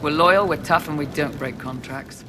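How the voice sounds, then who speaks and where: An actor playing a loyal, plain-spoken mercenary soldier in a video game, earnest, businesslike and confident. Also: male voice, a little high, normal speed, music in the background, lightly acted. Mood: confident, proud. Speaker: mercenary messenger. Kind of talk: video game dialogue acting.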